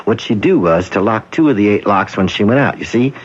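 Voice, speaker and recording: An obliging country shopkeeper in vintage radio drama. His voice is rough and husky with animated pitch, chatty and folksy. The recording sounds dull and muffled. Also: fairly quick. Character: obliging, folksy small-town locksmith and shopkeeper. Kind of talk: old-time radio drama acting.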